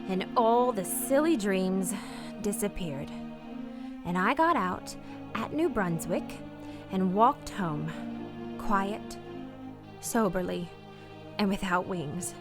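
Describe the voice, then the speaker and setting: An actress playing a wistful, restrained married woman torn by forbidden love in a radio play, speaking earnestly and hesitantly. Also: on the high side. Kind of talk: radio play acting with first-person narration.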